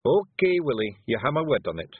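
A man doing a gruff Scottish pictsie chief. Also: mid-range voice, sing-song, no room sound. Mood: resolute. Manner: blustering, quick to snap. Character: gruff proud little male pictsie clan chief. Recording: tinny phone-like sound.

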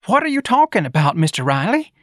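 A man's voice: extremely high pitch, wildly swooping pitch, takes it slowly, a bit bright, no echo, clean studio sound, voice pushed up, exaggerated voice. A man voicing a timid, flustered office manager who speaks in nervous questions in an audiobook. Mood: frightened, baffled. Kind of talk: audiobook character acting.

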